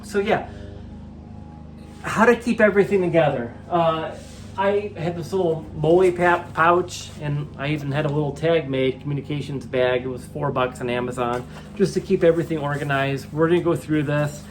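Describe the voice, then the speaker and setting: A man's voice: on the high side, moderate pace, faint music behind. An American man hosts a casual gear review and walkthrough, chatting informally to viewers as he explains things for beginners. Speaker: host of a product review video.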